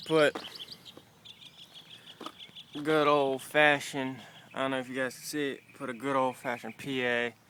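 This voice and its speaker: A man talks slowly in a middling pitch, giving an informal show-and-tell on a handheld camera around his car. He speaks off the cuff like an amateur vlogger, with some light background noise. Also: level tone, pushed and bright. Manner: informal, off-the-cuff, mild swearing. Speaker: amateur vlogger showing a car radio install. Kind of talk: casual handheld walkthrough. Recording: noisy handheld outdoor car recording.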